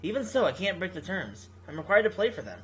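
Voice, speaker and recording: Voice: mid-range voice, big pitch swings, quick pace, a little hiss or hum, natural voice, low-quality recording. A video game reader voicing a carefree, proud young male pro gamer who is fed up with his team. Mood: hesitant.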